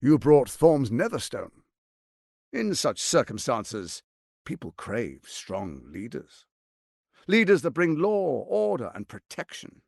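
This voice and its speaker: An actor playing a suave, scheming tyrant archduke in a video game, speaking in a pompous, silky, menacing and theatrical manner. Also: male voice, mid-range voice, big pitch swings, slow pace, gravelly, no echo, clean studio sound, exaggerated voice. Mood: grand, commanding. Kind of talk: video game character acting.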